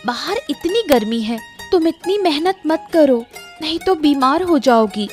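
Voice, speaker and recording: A woman voicing a caring, patient young daughter-in-law in a cartoon story, gentle and solicitous. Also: high voice, animated, a little slow, faint music behind. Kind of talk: animated moral story acting.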